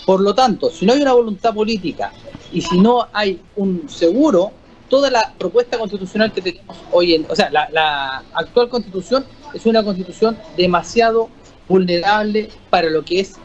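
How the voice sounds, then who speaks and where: A man with a Chilean accent, a guest on a political panel, arguing his view in a measured way with frequent restarts. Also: sits high, expressive. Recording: slightly muffled audio with faint music.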